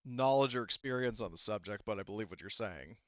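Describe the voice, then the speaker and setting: A man voicing a composed, cooperative woman ship's officer in a tabletop game, speaking in a measured, polite, official way. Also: lowish voice, lively intonation, dry recording, muffled recording, natural voice. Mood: earnest, cooperative.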